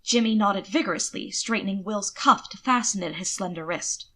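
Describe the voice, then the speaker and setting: Woman reading fanfic like an audiobook, bright clear-cut voice, expressive. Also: mid-range voice, fairly quick. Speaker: audiobook narrator of fan fiction. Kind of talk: expressive scripted narration.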